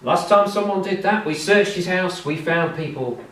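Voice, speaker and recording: A man voicing a cold, menacing captain of the royal guard, speaking in a calm, matter-of-fact, threatening way. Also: sits high, normal speed, roomy sound, far from the mic, voice pushed up, natural voice, poor audio.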